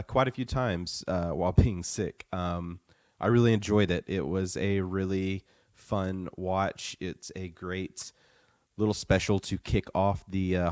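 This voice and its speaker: A man with a very low, smooth voice, still recovering from the flu, talks through his thoughts on a podcast. He is measured and steady, pausing often on "uh", and his voice sounds dry and close. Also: no room sound. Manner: measured, with frequent "uh" pauses. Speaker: podcast co-host. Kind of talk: casual review chat.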